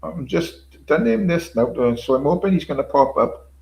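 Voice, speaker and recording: A man's voice: fairly high pitch, animated, normal speed, vocal fry, a little mellow, low-quality recording. An older man hosting a casual livestream, rambling, repeating himself, swearing casually and chuckling to his viewers. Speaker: livestream host. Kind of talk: casual solo livestream chat.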